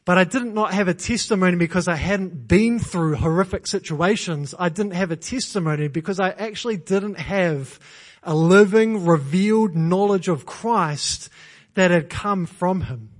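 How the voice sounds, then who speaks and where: Kiwi guy preaching in church, highish voice, earnest, repeats his points, jokes about himself. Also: moderate pace. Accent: New Zealand. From New Zealand. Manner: earnest, self-deprecating humor, emphatic repetition. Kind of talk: sermon.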